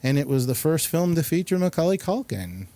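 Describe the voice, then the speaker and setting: A man hosting a casual talk show, speaking in a halting but enthusiastic, rambling way. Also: a little high, sing-song, warm tone. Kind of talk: casual rambling round-table chat.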